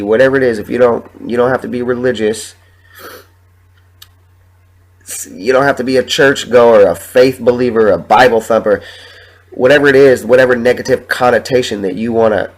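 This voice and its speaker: A young man hosting his own podcast while sick with the flu, sniffling and talking in a halting, rambling, emotional way with plenty of swearing. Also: mid-range voice. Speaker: podcast host. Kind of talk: solo podcast monologue.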